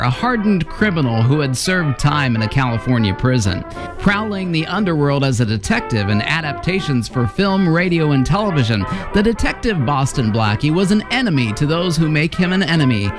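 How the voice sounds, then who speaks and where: A man reads scripted narration in a slightly high, lively voice. Music plays clearly behind him. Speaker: channel narrator.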